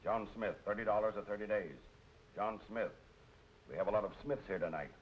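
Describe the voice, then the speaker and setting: A man playing a brisk, bored night-court judge who reels off sentences flatly, in old film noir style. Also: lowish voice, lightly acted. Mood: bored, officious. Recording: dull vintage film audio with hiss.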